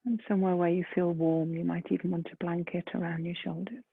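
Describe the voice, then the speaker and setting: A woman leading a guided meditation in a gentle, soft, breathy voice, unhurried, with long pauses between phrases. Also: lowish voice, fairly even intonation, dry recording. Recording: muffled phone-like lo-fi sound.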